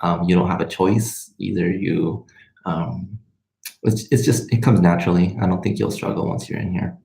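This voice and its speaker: A man's voice: low-pitched, little pitch movement, moderate pace, gravelly, mellow. A man in his twenties, a native Spanish speaker and medical student, chatting casually on a livestream and answering questions in a rambling way, trailing off mid-sentence. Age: 20s. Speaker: medical student and vlogger hosting a live question session. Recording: roomy, echoing sound.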